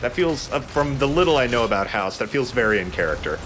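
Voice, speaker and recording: A man's voice: mid-range voice, big pitch swings, fast. A man giving casual, rambling explanations as he hosts a let's play of a video game. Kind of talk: casual gameplay commentary. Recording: game music behind the voice. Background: video game music.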